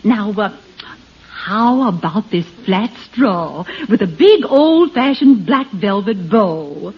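A woman's voice: medium pitch, animated, slow pace, husky, full character voice. A woman playing a fussily polite saleswoman with fraying patience, in an old-time radio comedy. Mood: forced cheer. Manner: fussy, exaggerated politeness. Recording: dull vintage radio recording, audience, noise.

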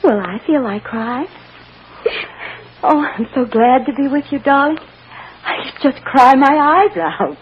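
A woman's voice: mid-range voice, very animated, moderate pace, long pauses, natural voice. Actress as tender, distraught mother, muffled radio recording. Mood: tearful, relieved. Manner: emotional, coaxing, hushed endearments. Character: tender, distraught mother soothing her lost child. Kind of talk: old-time radio soap acting. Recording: muffled, noisy old radio drama recording.

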